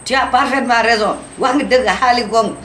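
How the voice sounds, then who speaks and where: An actor voicing an excitable, loudmouthed schemer in a cartoon, with a Senegalese accent and an exclamatory, scolding delivery. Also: medium pitch, brisk, natural voice. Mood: scolding, indignant. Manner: exclamatory, scolding, switching between two languages. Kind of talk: animated cartoon voice acting in comedy. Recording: roomy, echoing, with background noise.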